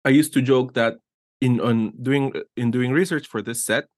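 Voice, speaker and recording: A man's voice: mid-range voice, fairly even intonation, moderate pace, darkish tone, dry recording. A middle-aged man from Guatemala talks eagerly in a casual interview. He often restarts his sentences and tags them with "right?". His voice sits in a middle range and sounds dry and close to the mic. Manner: enthusiastic, rambling with frequent restarts and "right?" tags. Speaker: art director, interview guest.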